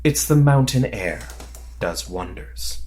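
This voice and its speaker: Actor as sardonic veteran male agent, deadpan wording. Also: fairly low pitch, takes it slowly. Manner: deadpan, terse, pedantic. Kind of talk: audio drama dialogue.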